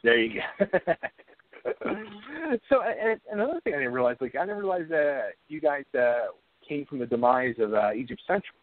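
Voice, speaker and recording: A man's voice: medium pitch, animated, normal speed, dry recording. A man hosting a radio interview in a loose, casual way, laughing often and rambling with fillers. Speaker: radio host. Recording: dry, phone-like sound.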